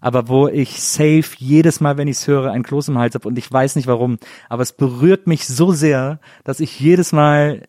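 A man's voice: medium pitch, normal speed, mellow, dry recording, studio quality. A middle-aged man hosting an interview in his living room, talking with enthusiasm and rambling through many fillers. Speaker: host. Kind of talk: casual interview chat.

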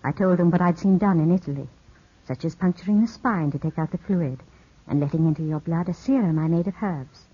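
An actress playing a poised, soothing widowed cousin who coaxes gently, in 1950s radio drama style on an old broadcast recording. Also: female voice, lowish voice, brisk, slightly rough. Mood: calm, caring. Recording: muffled 1950s radio broadcast, music behind.

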